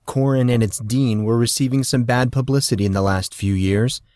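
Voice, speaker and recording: A man reading an audiobook in a steady, measured storytelling manner. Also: mid-range voice, no room sound, clean studio sound. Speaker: audiobook narrator. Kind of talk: scripted audiobook narration.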